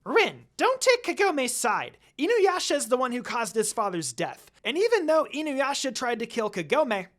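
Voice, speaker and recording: A storyteller voicing an irritable little male imp servant in a fan fiction reading, yelling and scolding in a high voice. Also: big pitch swings, moderate pace, hardly any pauses, soft background music. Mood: angry, scolding. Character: shrill, irritable little imp retainer, male, loyal and cowardly.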